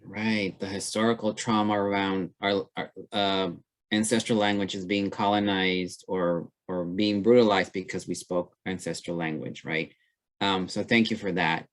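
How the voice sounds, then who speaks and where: Low-voiced man moderating a webinar. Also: fairly even intonation, measured pace. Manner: loose and conversational, many fillers. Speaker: webinar presenter.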